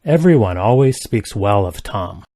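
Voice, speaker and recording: A man reading simple English practice sentences aloud, each one twice, in a careful, even delivery. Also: lowish voice, unhurried, no echo, studio quality. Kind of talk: slow repeated sentence reading for learners.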